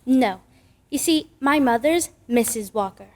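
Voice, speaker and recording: A woman's voice: a little high, animated, measured pace. A woman playing a frank, eager little child in an old-time radio drama. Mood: frank, curious.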